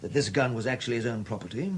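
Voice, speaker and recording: An actor playing a shrewd, middle-aged chief superintendent in a 1970s radio drama, measured, probing and dryly courteous. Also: male voice, medium pitch, husky, close to an everyday voice. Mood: measured, deferential. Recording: hissy old 1970s radio broadcast recording.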